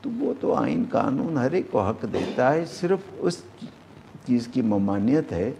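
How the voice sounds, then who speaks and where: Middle-aged man, Pakistani accent, creaky mellow voice, informal interview answers, some background noise. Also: mid-range voice, very animated, a little slow. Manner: conversational, repeats phrases for emphasis, mixes in English terms. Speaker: politician, interview guest.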